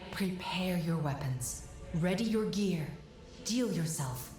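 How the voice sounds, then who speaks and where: Casting: composed female construct guide, fantasy game, slightly low voice, formal and instructive. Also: expressive, slow pace. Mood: resolute. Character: calm, devoted female construct guiding a warrior. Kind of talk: fantasy video game acting. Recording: echoing, with loud music. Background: game music.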